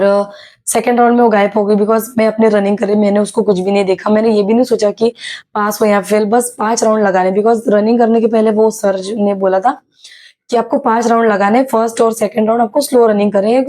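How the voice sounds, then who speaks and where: Young woman vlogging, bit hoarse from a sore throat, mellow tone, lots of umms, chatty. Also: mid-range voice, steady tone, brisk. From Maharashtra, India. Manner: chatty, many hesitations and English words mixed in. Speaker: YouTuber sharing her exam experience. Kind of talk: casual vlog storytelling.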